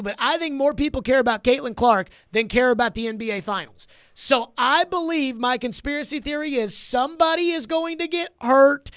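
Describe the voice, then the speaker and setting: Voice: high-pitched, fairly bright tone, dry recording. Emphatic sports talk host, very dry sound. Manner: emphatic, argumentative, repeats phrases for effect. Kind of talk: opinionated sports debate.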